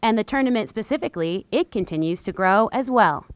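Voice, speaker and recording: A woman's voice: mid-range voice, animated, brisk, background noise. A woman reporter delivering scripted news narration for a television package.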